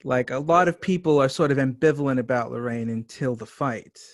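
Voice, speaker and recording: A man's voice: mid-range voice, a little fast, no echo, studio quality. A man interviewing someone, discussing a drama in a conversational way as its writer and director.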